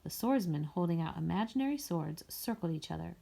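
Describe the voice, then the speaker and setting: A woman's voice: on the low side, expressive, fairly quick, vocal fry, mellow. A woman reading a storybook aloud as a scripted narration. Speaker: story reader.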